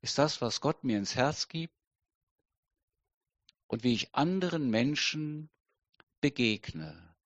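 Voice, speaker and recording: A middle-aged man preaching a sermon slowly and deliberately, with emphatic pauses. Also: mid-range voice, lively intonation, a bit bright, dry recording. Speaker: pastor.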